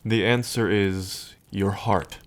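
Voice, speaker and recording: A man playing a smitten, teasing dragon king in an intimate ASMR roleplay, with unhurried, murmuring pauses. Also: lowish voice, fairly even intonation. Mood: tender, teasing. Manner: unhurried, murmuring pauses, hums and soft chuckles.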